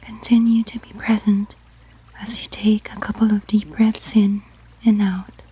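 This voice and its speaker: Breathy, whispering woman guiding sleep meditation. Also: medium pitch, level tone, low-quality recording. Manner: slow, gentle, measured instructions. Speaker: guided meditation narrator. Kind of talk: guided sleep meditation, ASMR.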